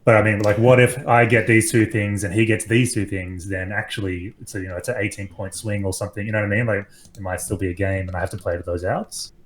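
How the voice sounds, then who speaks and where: Low-voiced, upbeat Australian man. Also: fast talker, few pauses, slight background noise. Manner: animated, enthusiastic, swears casually. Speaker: podcast guest. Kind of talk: casual podcast chat.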